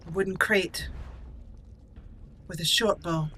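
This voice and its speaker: Bright-voiced woman doing game commentary. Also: on the low side, slow pace, a little hiss or hum. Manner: talks to her game character, drifts into in-character musing. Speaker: gaming channel host. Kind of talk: casual roleplay let's play commentary. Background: video game sounds.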